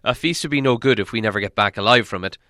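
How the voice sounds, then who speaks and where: A man voicing a gruff, commanding dwarf leader from a storybook, terse, authoritative and wary. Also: fairly low pitch, fast, bright, forceful tone, no room sound, studio quality. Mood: stern, cautious. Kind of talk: storybook character voice.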